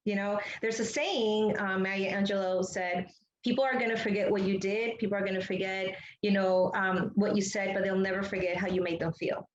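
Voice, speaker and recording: A woman's voice: mid-range voice, steady tone, moderate pace. Middle-aged woman on a roomy, muffled call. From Ecuador. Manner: chatty, rambling with repeated "you know". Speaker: interview guest, entrepreneur and public speaker. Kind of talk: conversational interview answer, personal storytelling. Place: remote, on a video call.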